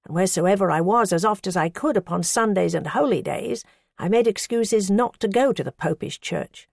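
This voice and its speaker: A woman's voice: normal speed, gravelly, darkish tone, dry recording, clean studio sound. A woman voicing a defiant, pious woman who scolds her accusers with rising passion. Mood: earnest. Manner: impassioned, scolding, rising pitch.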